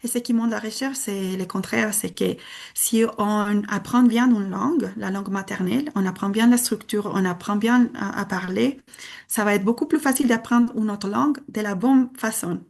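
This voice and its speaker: A woman's voice: mid-range voice, normal speed, creaky, darkish tone. Woman presenting. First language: Spanish. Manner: earnest, explanatory, hesitant. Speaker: conference speaker. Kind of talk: conference presentation.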